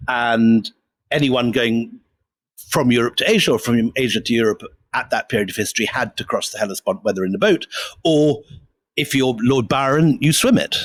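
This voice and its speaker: A man's voice: mid-range voice, moderate pace, no echo. Guy on a history podcast, mid voice, chatty and eager to explain, dry sound. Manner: enthusiastic, explanatory. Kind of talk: conversational history storytelling.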